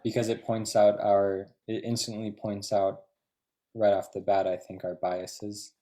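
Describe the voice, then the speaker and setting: Low, flat-toned man, measured. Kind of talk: reflective discussion comment.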